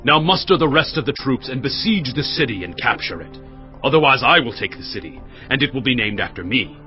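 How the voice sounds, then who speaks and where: Male actor as forceful military commander, mid pitch, lively swings, urgent orders, scripture drama. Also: brisk, lo-fi sound, faint music behind, close to an everyday voice. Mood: commanding. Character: forceful army commander reporting to his king. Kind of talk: dramatized audio Bible.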